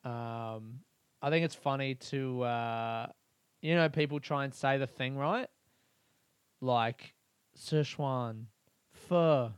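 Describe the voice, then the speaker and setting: A man's voice: mid-range voice, takes it slowly, pauses often, clean tone, dry recording. A man hosting a podcast, rambling through a casual comedic monologue and swearing freely while he laughs at his own jokes. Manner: crude, swears a lot, laughs often; animated, rambling storytelling. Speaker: podcast host.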